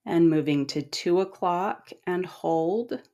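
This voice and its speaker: A middle-aged woman leading a guided meditation in a calm, gentle, instructing manner with long pauses. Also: on the low side. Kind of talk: guided body scan meditation.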